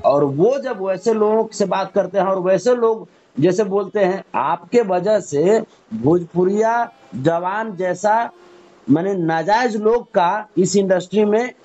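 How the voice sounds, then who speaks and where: A man's voice: sits high, slow pace, a little mellow. A man with a Bhojpuri-region Hindi accent arguing insistently on a phone call, repeating himself and talking over the other person. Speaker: caller. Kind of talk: argumentative phone conversation. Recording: muffled, lo-fi recorded phone call.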